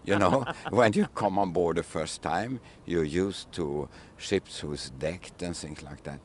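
An older man with a very deep voice speaks slowly, searching for his words in broken English. He is interviewed outdoors at a waterfront, talking into a handheld mic, with faint outdoor noise behind him. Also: darkish tone. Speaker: ship captain. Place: outdoors on a wooden ship at a city waterfront. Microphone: handheld mic held by interviewer.

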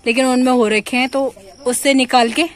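A woman's voice: high-pitched, steady tone, moderate pace. A young woman vlogging casually outdoors on a phone, chatting to the camera about what she is doing. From India. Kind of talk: casual vlog chat. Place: outdoors at home and in a field.

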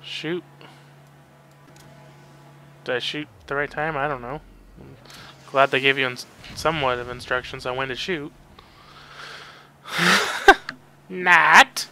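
A man's voice: mid-range voice, takes it slowly, pauses often, sharp tone, a little hiss or hum. A man giving casual, sarcastic video game commentary, grumbling and swearing freely. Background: game sound effects and ambience.

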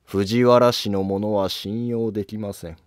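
A man playing a distrustful, resolute court noble with deliberate formal speech in a historical drama. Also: lowish voice, steady tone, no echo, clean studio sound, lightly acted. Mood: resolute, secretive. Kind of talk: scripted historical drama dialogue.

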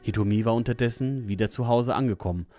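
A man's voice: medium pitch, quick pace, mellow, faint music behind. A man reading scripted narration for an audio drama.

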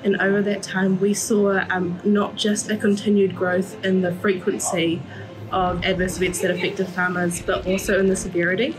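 A woman's voice: mid-range voice, level tone, moderate pace, rough recording. Articulate New Zealand woman, level tone. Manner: articulate, policy-style phrasing. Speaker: farm policy worker. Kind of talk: interview answers. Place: indoor room with large windows.

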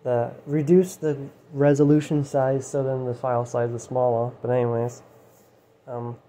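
A man musing aloud in a loose, rambling monologue, swearing casually and tagging lines with "you know", his voice going hoarse. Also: medium pitch, flat delivery, normal speed, mellow. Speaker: channel host. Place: his room, indoors. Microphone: phone set down nearby. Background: heater hum.

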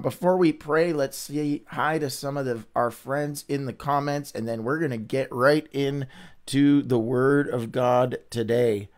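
Upbeat man with a stuffy nose. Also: medium pitch. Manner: upbeat, chatty, greets viewers by name. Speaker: host of a Bible reading livestream. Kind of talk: casual livestream chat and scripture reading.

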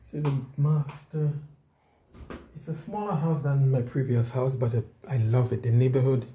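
A man's voice: mid-range voice, steady tone, normal speed, mellow, breathy edge. A man giving a casual walking tour of his house on a handheld phone, chatting to viewers and calling them guys throughout. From Caribbean. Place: house and yard, indoors and out. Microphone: handheld phone camera, filming while walking.

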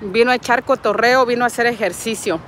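A chatty, affectionate Mexican woman in her forties greets her livestream viewers one by one. Her voice sits a little high, and she talks into an earbud mic while walking outdoors. Faint traffic hums behind her. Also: fast, a bit bright. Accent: Mexican Spanish. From Nayarit, Mexico. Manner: chatty and affectionate, reading out comments. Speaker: vlogger hosting a livestream. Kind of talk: casual live chat, greeting viewers. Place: outdoors in a parking lot and a street. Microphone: wired earbud mic, phone at arm's length.

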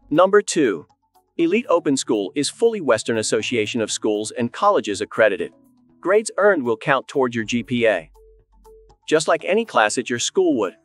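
Man, 30s, studio presenter, polished even delivery, mid pitch, faint music behind. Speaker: school presenter. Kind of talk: scripted promotional presentation. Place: studio, voice-over on graphics.

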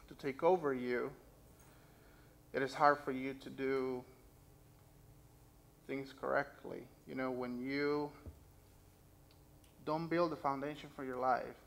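Gravelly-voiced man preaching at a pulpit. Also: medium pitch, steady tone, slow, pauses often, fairly bright tone. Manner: earnest personal testimony, reads scripture aloud. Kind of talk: sermon with scripture reading. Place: church sanctuary stage. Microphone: stand mic at pulpit, far camera.

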